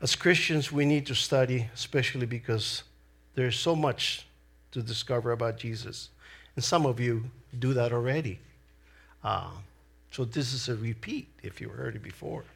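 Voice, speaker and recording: A middle-aged man from El Salvador with a Salvadoran Spanish accent, whose first language is Spanish, delivering a church sermon in an earnest, deliberate way. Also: mid-range voice, gravelly, a bit bright, breathy edge. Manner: earnest, slow and deliberate with pauses. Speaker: lay preacher.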